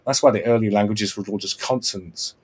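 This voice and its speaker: A man's voice: fairly low pitch, fairly even intonation, a little fast, over a noisy crowd. A man hosting a casual podcast, explaining at length with restarts and repeated checks of "right?". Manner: earnest, lecturing, many restarts and repeated "right?". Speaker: podcast host.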